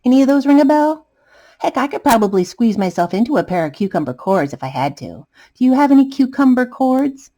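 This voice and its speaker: Storybook potato fellow, played by a woman: panicky, melodramatic, high-pitched and wildly swooping. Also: very animated, brisk, warm tone. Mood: eager. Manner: wailing, exclaiming, melodramatic. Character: excitable, dramatic, panicky male potato.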